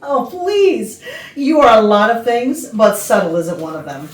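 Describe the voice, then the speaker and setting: A woman's voice: on the high side, big pitch swings. A woman playing a sly, meddling colleague with emphatic theatrical delivery in a staged comedy reading. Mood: egging on. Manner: emphatic, theatrical drawn-out words. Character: meddling, sly female office colleague egging others on. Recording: echoey rehearsal room from far off.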